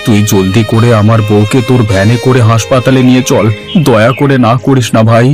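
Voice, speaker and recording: A man's voice: medium pitch, steady tone, fairly quick, a little mellow, faint music behind, lightly acted. A man voicing a haughty, anxious rich village husband in a cartoon story, fussy and exclamatory. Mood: pleading. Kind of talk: Bengali cartoon story voice acting.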